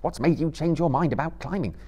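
A man's voice: on the high side, brisk, warm tone, pitched above the performer's own voice, lightly acted. A man voicing an earnest, determined young male hobbit who urges his companion on in a lifted voice. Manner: urgent, raised and pleading.

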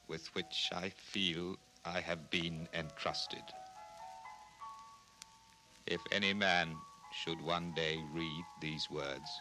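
An actor playing a solemn, brooding composer, declaiming slowly and gravely in a dramatic monologue on a 1960s children's story record. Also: male voice, low-pitched, sharp tone, full character voice, rough recording. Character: brooding, solemn composer voicing his anguished testament.

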